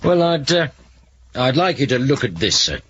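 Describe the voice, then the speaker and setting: A man playing a dogged, dry detective inspector in a radio drama, with an English accent and a formal, deadpan manner. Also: mid-range voice, moderate pace, slightly rough. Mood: probing. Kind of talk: 1970s radio drama acting. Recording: dull lo-fi 1970s radio recording.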